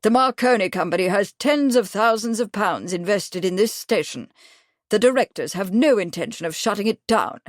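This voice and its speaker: A woman's voice: mid-range voice, animated, moderate pace, no echo, clean studio sound, lightly acted. A woman voicing a brusque, stubborn male company manager who growls his refusals. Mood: fierce. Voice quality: brusque, forceful.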